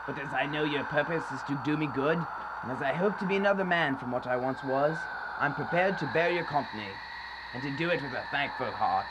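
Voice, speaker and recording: An actor playing a humbled, earnest old miser in a radio drama, solemn and pleading. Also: male voice, on the high side, fairly quick, natural voice. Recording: very noisy student radio recording.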